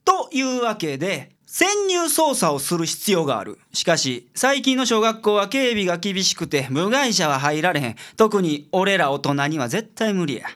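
A woman acts out a blunt detective boss in a radio drama. He talks in a casual Kansai accent with a commanding manner. The pitch sits at an ordinary female middle. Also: sing-song, normal speed, few pauses, a bit bright. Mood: businesslike, commanding. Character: brusque, casual adult male detective boss.

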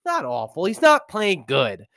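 A man's voice: high-pitched, huge pitch swings, a little slow, creaky, a bit bright, dry recording, studio quality. A man with a stuffy nose from a cold, giving an opinionated, rambling football recap with many restarts. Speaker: sports commentator. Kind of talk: ranting sports recap.

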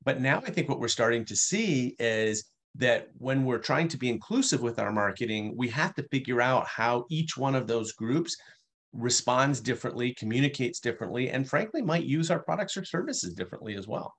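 A man's voice: medium pitch, expressive, normal speed, fairly bright tone, husky. A man answering interview questions about customer experience, speaking fluently and explaining his points with playful asides.

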